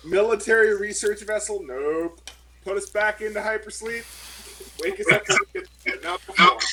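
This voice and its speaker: A man with a fairly high voice plays in a sci-fi tabletop session. He fires off quick wisecracks at the table. A faint hiss of noise runs under his lines. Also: animated, pushed and bright, poor audio. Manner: jokey, wisecracking. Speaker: player. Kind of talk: casual tabletop play.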